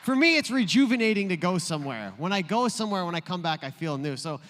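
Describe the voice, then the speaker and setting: A man's voice: high voice, very animated, fast talker, a bit bright. A male pastor preaching a sermon in a playful, joking storytelling way. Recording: long reverb in a large room.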